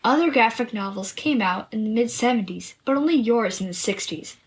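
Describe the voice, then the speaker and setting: A woman playing a clever, confident young girl in a story podcast, explaining and teasing with a slightly husky voice. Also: fairly high pitch, expressive, a little fast. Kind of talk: scripted story podcast dialogue.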